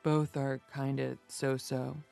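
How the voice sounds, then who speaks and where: A woman's voice: very deep voice, flat delivery, takes it slowly, gravelly, dark tone, no room sound, faint music behind, exaggerated voice. A woman narrator reading the lines of an easygoing, dry and reassuring young man in a story. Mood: dry, amused.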